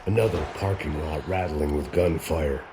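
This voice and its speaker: A man playing a jaded, middle-aged, hard-drinking action hero in deadpan, world-weary noir game narration. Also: extremely low pitch, normal speed, noisy background. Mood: brooding, weary. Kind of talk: hardboiled noir voice-over narration.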